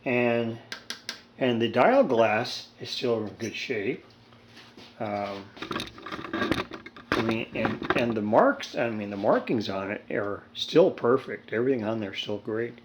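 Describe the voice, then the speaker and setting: A man's voice: mid-range voice, slow. A man in his seventies giving a casual, rambling, folksy hands-on repair demonstration with dry humor. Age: 70s. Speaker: hobbyist radio restorer. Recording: steady background noise, homemade video.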